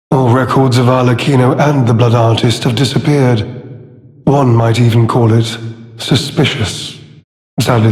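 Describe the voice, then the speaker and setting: A man playing a scheming, cowardly and self-serving informant in a video game, speaking in halting, theatrical phrases with mock politeness. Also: a little high. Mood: intrigued, conspiratorial. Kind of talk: video game character dialogue. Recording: echoey hall with long reverb.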